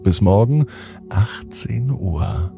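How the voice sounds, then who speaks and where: A man hosting a children's podcast, narrating a bedtime story in an expressive, gentle way. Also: on the low side, takes it slowly, creaky, music in the background.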